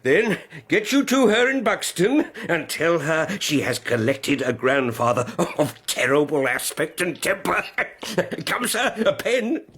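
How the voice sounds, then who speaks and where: A gruff old aristocratic grandfather, blusters and repeats himself in a period radio drama. His voice is husky and fairly high, and it swings widely with emotion. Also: sing-song, unhurried, hardly any pauses, fairly bright tone, full character voice. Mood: blustering, excited. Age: older.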